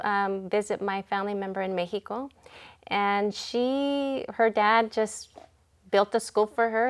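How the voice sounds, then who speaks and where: A woman in her forties, a school principal, talking in a relaxed interview in a reflective, unhurried way with many ums and pauses. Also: mid-range voice. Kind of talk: casual interview talk.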